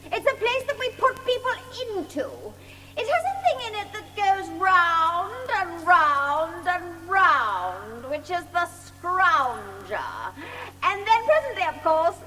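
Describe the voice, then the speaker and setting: Villainess: very high, piercingly bright, condescending, theatrical, with menace under the manners. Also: female voice, big pitch swings, slow, soft background music, heavily acted, rough recording. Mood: gloating menace. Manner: condescending, mock-polite, theatrical. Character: menacing, falsely genteel female villain. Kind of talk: dramatised audiobook acting.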